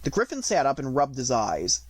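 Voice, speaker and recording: A man narrating a storybook as an audiobook reader, in an expressive scripted reading. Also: medium pitch, normal speed, slight background noise.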